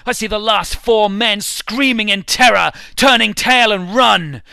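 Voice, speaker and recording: A man giving a theatrical, intense and deliberate dramatic reading of satirical prose. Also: high-pitched, gravelly, pushed and bright, smooth, dry recording, studio quality. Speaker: amateur reader.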